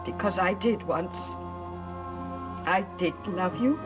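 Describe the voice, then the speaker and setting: Witches' daughter: wounded but defiant, slow dramatic delivery, gothic soap with music underneath. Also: on the low side.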